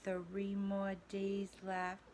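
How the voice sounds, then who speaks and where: A pre-K teacher reading a storybook aloud to young children, talking in a slow, expressive, sing-song way. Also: female voice, mid-range voice, a little hiss or hum.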